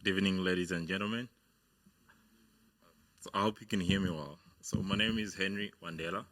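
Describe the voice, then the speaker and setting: A man's voice: sits low, steady tone, a little fast, a bit bright. A young man from Uganda giving a hesitant on-stage talk about his studies, with many fillers and restarts. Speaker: guest speaker, business founder. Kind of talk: motivational speech. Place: on stage at a university event.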